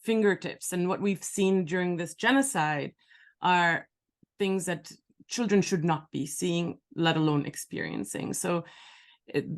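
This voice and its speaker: A middle-aged woman from Palestine hosting an interview, asking searching, halting questions. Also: deep voice. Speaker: interview host. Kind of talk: conversational interview.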